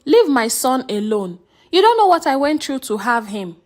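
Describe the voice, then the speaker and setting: Give this folktale a proud village mother, acted out by a female storyteller, high-pitched and swooping. She dotes fiercely on her child and is combative and insistent with everyone else. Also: sing-song, normal speed, darkish tone. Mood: defiant scolding. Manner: scolding, boastful, insistent.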